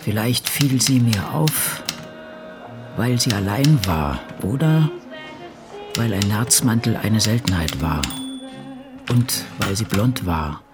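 A solitary, brooding old woman writer in a radio play. The pitch moves freely through reflective diary entries and reminiscences, with music behind. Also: lively intonation, slow pace, pauses often. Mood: musing, remembering. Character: Patricia Highsmith, ageing woman crime novelist, solitary and brooding. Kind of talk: literary radio drama, diary monologue.

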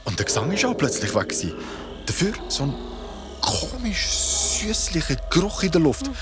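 A man playing a young yacht worker who narrates an eerie ordeal in a radio play, haltingly and with suspense. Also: fairly high pitch, huge pitch swings, over loud music. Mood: haunted, suspenseful.

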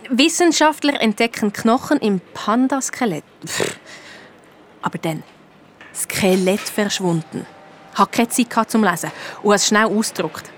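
A woman playing a clever, eager schoolgirl detective with a Swiss accent, telling her case in a lively, colloquial way in a children's radio play. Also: medium pitch, a little hiss or hum, close to an everyday voice.

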